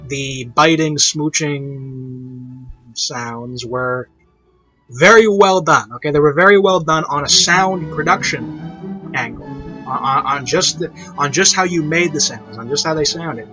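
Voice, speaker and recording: A man's voice: a little high, slow. A man hosting a casual, unscripted review, rambling and repeating himself to make his point. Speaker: review show host, online reviewer. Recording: dull lo-fi mic, music behind. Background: orchestral and piano classical music.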